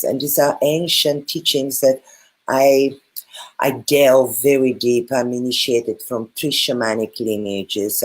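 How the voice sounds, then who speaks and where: A woman with a Bulgarian accent and a very low, deep voice speaks earnestly over a video call. She repeats her phrases, circling back with soft fillers as she explains. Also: husky. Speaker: guest, spiritual teacher. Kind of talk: casual video call chat.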